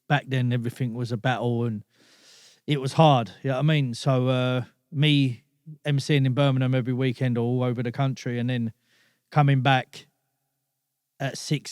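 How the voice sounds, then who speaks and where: Casual guest in his thirties, easygoing, mid-pitched, close dry sound. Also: darkish tone, clean tone, no room sound. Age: 30s. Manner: laid-back, fills talk with "you know what I mean". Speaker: podcast guest. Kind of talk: casual storytelling chat.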